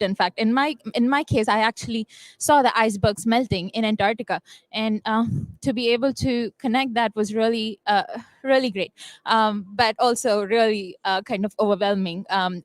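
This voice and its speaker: A woman's voice: medium pitch, no echo, rough recording. A young woman from India speaking on a panel, hesitant, with many ums and uhs. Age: young adult. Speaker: youth panelist. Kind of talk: panel dialogue.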